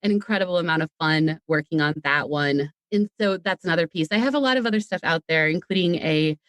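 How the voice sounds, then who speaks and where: Low-pitched woman, playful and talkative. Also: fairly quick, a bit bright, dry recording. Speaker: podcast guest, audio drama creator. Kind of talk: casual interview chat.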